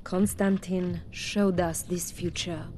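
A woman's voice: on the low side, flat delivery, takes it slowly, noisy background. Haughty French female cultist. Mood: serene, lofty. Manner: pompous, mystical, aloof. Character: haughty, serene female cultist, a talking severed head. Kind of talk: video game character acting.

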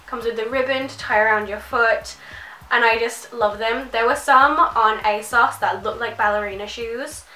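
A woman's voice: fairly high pitch, normal speed, sharp tone, roomy sound, distant mic, low-quality recording. A young woman chatting to camera about a clothing haul, nasal and congested from a cold, gushing and full of "like". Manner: chatty, gushing, many likes. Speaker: fashion vlogger. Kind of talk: casual haul chat to camera. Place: small bedroom, indoors.

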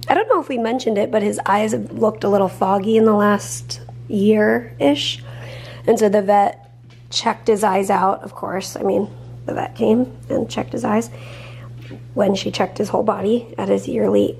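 A woman in her thirties, a vlog co-host, chatting in an animated way to a handheld camera in her kitchen, saying "like" often. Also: mid-range voice, normal speed, creaky, slight background noise. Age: 30s. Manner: chatty, animated, says "like" a lot. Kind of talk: casual vlog chat. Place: home kitchen. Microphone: handheld camera at arm's length.

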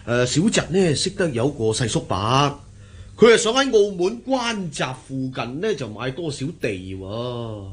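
An actor playing a polite, flattering young man in a Cantonese radio period drama, speaking deferentially with a Hong Kong accent. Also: medium pitch, normal speed, breathy edge. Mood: polite, probing. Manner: deferential, obsequious, effusively courteous. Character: polite, flattering young man, a visiting family friend's son.

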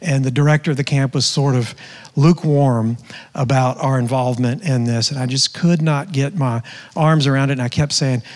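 A man's voice: medium pitch, moderate pace, darkish tone. A middle-aged man, a mission pastor, speaking earnestly on a stage panel with many restarts. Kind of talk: panel discussion. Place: church stage. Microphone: handheld mic. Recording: long hall reverb.

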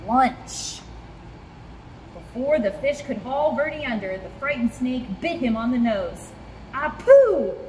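A young woman reads a picture book to children in an expressive, fairly high voice. She is recorded a short way from the camera, with clear ambient noise around her. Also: normal speed, hardly any pauses, big-room sound, rough recording. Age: young adult. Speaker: zoo staff storyteller. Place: in front of a rock wall at a zoo.

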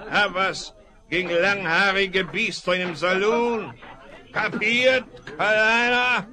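An actor playing a brawny, boastful cowboy bully who threatens and picks fights, in a 1970s radio play. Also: male voice, sits high, fairly even intonation, takes it slowly, sharp tone, heavily acted. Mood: blustering.

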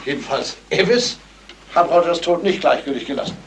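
An actor playing a sleuth's loyal, doubting confidant in a 1970s German radio crime drama. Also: male voice, fairly high pitch, animated, brisk. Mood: doubtful. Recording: slightly noisy old radio recording.

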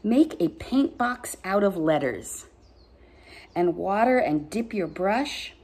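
Slow, mellow middle-aged woman at story time. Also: medium pitch, animated. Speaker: pastor reading story time for children. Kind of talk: reading poems aloud with casual asides to children. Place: bright dining room at home.

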